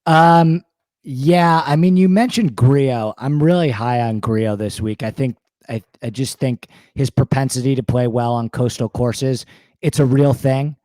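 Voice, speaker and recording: A man with a slightly hoarse voice, eagerly talking stats and picks as a guest in a casual interview. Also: mid-range voice, unhurried, dark tone, no room sound. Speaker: guest sports betting analyst.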